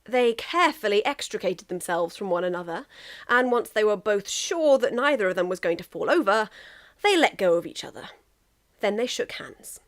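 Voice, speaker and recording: A woman reading a comic story aloud in an expressive amateur narration. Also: medium pitch, normal speed. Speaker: amateur fan fiction reader.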